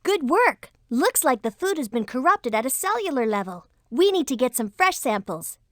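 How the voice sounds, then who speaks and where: A woman's voice: high voice, sing-song, normal speed, a bit bright, dry recording, clean studio sound. A woman playing a confident, take-charge young spy leader explaining missions in an educational cartoon game. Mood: instructive.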